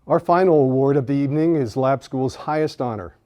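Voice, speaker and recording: Mellow-voiced man presenting awards. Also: medium pitch, brisk. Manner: formal, polished. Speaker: ceremony host. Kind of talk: scripted ceremony presenting.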